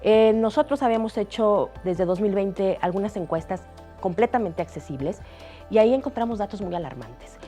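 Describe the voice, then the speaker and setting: A woman speaking as a guest expert in a studio interview, measured and steady, citing figures with frequent hesitations. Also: mid-range voice, soft background music.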